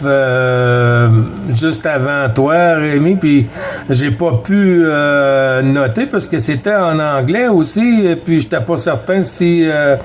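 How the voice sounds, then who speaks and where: High-pitched Québécois man amid radio noise. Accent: Quebec French. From Quebec, Canada. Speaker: CB radio operator. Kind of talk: casual radio contact chat. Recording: noisy radio static, clicks.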